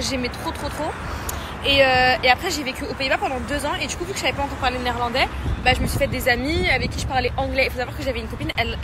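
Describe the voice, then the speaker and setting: A young woman with a high voice talks into her phone camera as she wanders city streets. She is chatty and effusive and keeps repeating herself with excitement. Clear background noise from outside runs under her voice. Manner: chatty, gushing, repeats "trop" often. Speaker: vlogger. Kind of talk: casual vlog chat to camera. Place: outdoors on city streets, then inside a salon.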